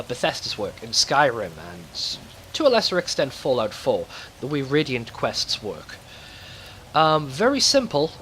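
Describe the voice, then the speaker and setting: A man's voice: mid-range voice, sharp tone, slight background noise. A man hosting a gaming video, talking casually and thinking aloud in a conversational let's play commentary.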